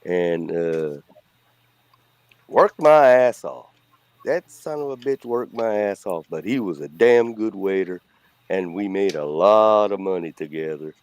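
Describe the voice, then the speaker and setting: A man's voice: sits low, lively intonation, measured pace, darkish tone, no echo. A middle-aged man with a Cajun accent, chatting casually as a co-host in a blunt, rambling way. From Louisiana. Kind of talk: casual chat.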